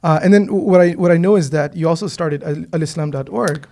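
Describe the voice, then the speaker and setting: Eager podcast host, mellow gravelly man. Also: a little high, fairly quick. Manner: curious, eager, uses many fillers. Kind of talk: casual podcast interview. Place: mosque studio.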